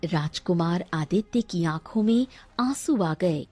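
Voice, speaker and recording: Slow-paced woman narrating a fairy tale. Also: on the low side, animated, warm tone, husky, soft background music. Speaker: storyteller, narrator.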